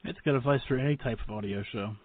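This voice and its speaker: A man's voice: mid-range voice, fast talker, narrow, tinny audio. A man hosting a talk radio interview in a casual, informal, joking way.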